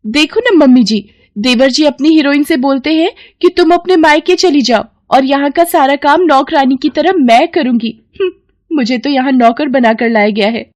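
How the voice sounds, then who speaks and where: A woman's voice: sits high, moderate pace, darkish tone, pitched above the performer's own voice, lightly acted. A woman voicing a vain, haughty, selfish young daughter-in-law in a cartoon melodrama, complaining dramatically. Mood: indignant.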